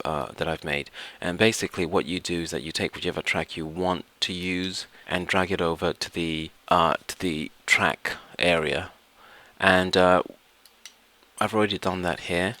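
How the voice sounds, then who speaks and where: A man narrating an informal software tutorial step by step, hesitating and repeating himself as he goes. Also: low-pitched. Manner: hesitant, repetitive, explains step by step. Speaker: tutorial presenter.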